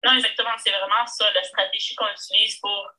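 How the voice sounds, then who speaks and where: A woman's voice: medium pitch, little pitch movement, fast, sharp tone, poor audio. A woman with a Spanish-speaking accent, a Spanish native speaker, chatting earnestly on a live video call and restarting words often. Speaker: guest co-host. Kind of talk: casual live chat.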